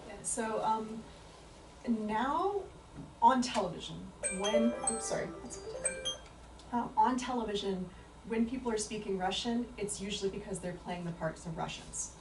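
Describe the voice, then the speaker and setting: A woman's voice: medium pitch, unhurried, darkish tone. Woman answering questions in an echoey hall. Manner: thoughtful, many fillers and restarts. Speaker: book talk speaker. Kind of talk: answering audience questions at a talk. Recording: echoey room from far off with faint noise.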